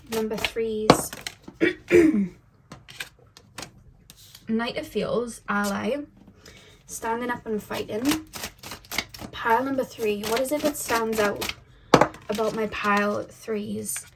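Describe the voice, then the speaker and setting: A woman's voice: fairly high pitch, background noise. A woman giving a casual tarot reading to camera at home, chatty and musing aloud, repeating her questions to spirit guides. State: voice going a little deep. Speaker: tarot reader. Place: indoors at home.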